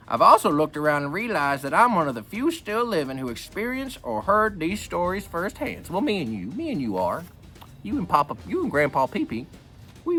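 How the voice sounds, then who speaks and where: A man's voice: high voice, fairly bright tone, heavily acted. Guy doing an old grandpa reading his book, jokey n rambly, voice jumps up and down loads. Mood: comic griping. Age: older. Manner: rambling, repeats himself, wisecracking. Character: folksy, joking old grandpa reading a memoir aloud. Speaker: book reader. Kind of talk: reading a book aloud with rambling comic asides.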